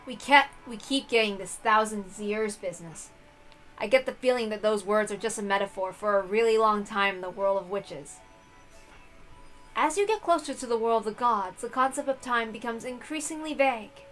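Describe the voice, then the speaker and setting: Female visual novel reader, higher voice, expressive storytelling that slips into casual chat, music underneath. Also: normal speed, low-quality recording. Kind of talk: expressive read-aloud narration with casual asides.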